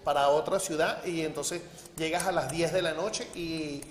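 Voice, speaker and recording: A man in his thirties with a Caracas accent, answering a TV interviewer in halting phrases full of fillers. His voice is slightly high. The studio sounds roomy with long reverb and faint hiss. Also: steady tone. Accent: Caracas Venezuelan. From Venezuela. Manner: hesitant, many fillers. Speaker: guest businessman. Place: TV news studio.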